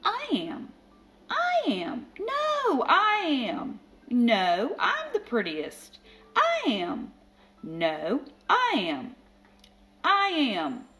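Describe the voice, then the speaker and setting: Storybook cat, a woman's put-on voice: very high, plaintive, vain and squabbling, short mewing cries. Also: wildly swooping pitch, drawn-out words, pushed and bright, heavily acted. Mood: boastful. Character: hordes of thirsty, vain, quarrelsome cats.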